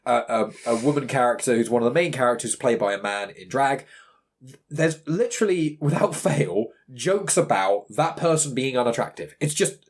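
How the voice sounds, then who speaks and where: A man's voice: medium pitch, expressive, normal speed. A young British man co-hosting a podcast, chatting casually with a sarcastic, teasing manner. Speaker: podcast co-host.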